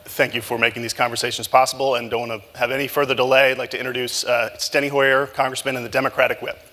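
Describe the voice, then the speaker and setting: A man moderating a live breakfast event, talking loosely with many filler pauses as he asks his guests questions. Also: mid-range voice, flat delivery, sharp tone, in a large hall. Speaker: moderator and journalist. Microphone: handheld mic.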